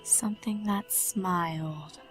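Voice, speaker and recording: A woman's voice: lowish voice, slow pace, soft background music. A woman reading fan fiction aloud as a scripted story narrator.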